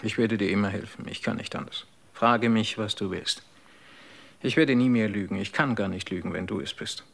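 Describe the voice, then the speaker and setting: An actor in a radio drama playing a devoted, worried companion, speaking gently and earnestly, often in a hushed voice. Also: male voice, lowish voice, fairly even intonation, normal speed, creaky.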